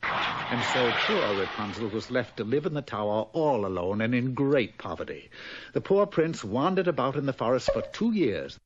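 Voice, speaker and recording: A man narrating a fairy tale in an old cartoon with a genteel, storytelling manner. His voice rises and falls a great deal. There is clear noise on the old recording. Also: medium pitch, sing-song, moderate pace, lo-fi sound. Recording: noisy old TV sound.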